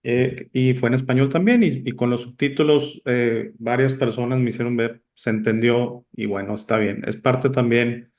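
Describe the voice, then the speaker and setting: Italian-born guy hosting an interview, rambly and chatty, filler words everywhere, average pitch. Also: fairly even intonation, normal speed, few pauses, darkish tone. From Italy. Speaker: interview host. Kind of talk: casual interview chat.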